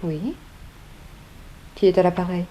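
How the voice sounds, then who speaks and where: Low, mellow, wary young model. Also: female voice, unhurried. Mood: wary, annoyed. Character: wary, cool young female fashion model. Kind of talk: 1960s French radio serial acting. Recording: faintly noisy old radio recording.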